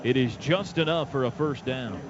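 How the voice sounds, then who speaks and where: A man's voice: medium pitch, moderate pace. A man giving live radio play-by-play of a football game in clipped, urgent phrases. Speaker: play-by-play announcer. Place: stadium radio booth. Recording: dull, noisy stadium radio broadcast.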